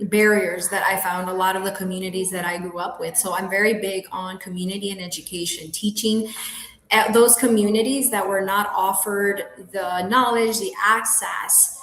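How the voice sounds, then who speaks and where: A woman's voice: mid-range voice, steady tone, moderate pace, fairly bright tone. A woman in her thirties from Colombia, a realtor speaking English as her second language, talking earnestly in an interview, often laughing and restarting her sentences. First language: Spanish. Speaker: guest, realtor. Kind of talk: casual interview talk. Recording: echoey room.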